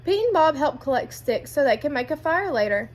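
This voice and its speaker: A woman's voice: sits high, very animated, a little fast. A woman reading a children's picture book aloud as a storyteller, addressing young listeners with guiding questions.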